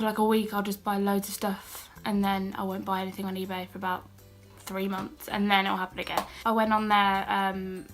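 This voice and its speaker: A young British woman talking through a cold, her voice a little croaky and her pitch fairly flat. She chats in a relaxed, self-deprecating way, full of fillers and side remarks, with faint music in the background. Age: young adult. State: has a cold, a bit croaky. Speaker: beauty and haul vlogger. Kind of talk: casual haul vlog chat.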